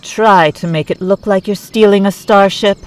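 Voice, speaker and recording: A woman's voice: medium pitch, steady tone, moderate pace, smooth, lightly acted. A woman playing a sardonic, no-nonsense warrior in a sci-fi audio drama. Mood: brisk, dry. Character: hard-edged sardonic female warrior leading a rescue. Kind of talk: fan audio drama acting.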